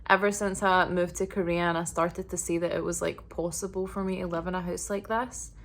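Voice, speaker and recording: A woman vlogging a casual apartment tour indoors, talking in a monotonous, relaxed way with lots of fillers. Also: on the low side, a little fast, a little hiss or hum. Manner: monotonous, flat delivery; relaxed, filler-heavy talk.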